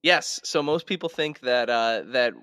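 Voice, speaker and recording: A man hosts a relaxed history chat on a podcast. His voice sits at an average pitch with a long reverb tail. He explains things conversationally, often halting and regrouping as he goes. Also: clear, resonant tone, clean studio sound. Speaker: podcast host. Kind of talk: casual podcast talk telling history.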